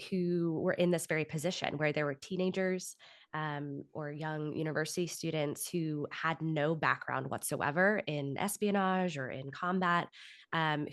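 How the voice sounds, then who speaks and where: A woman with a notably low voice talks as a guest in an interview. She explains her work in a conversational way, with loose asides. The sound is very dry, without room echo. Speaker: podcast guest, writer and audio drama producer.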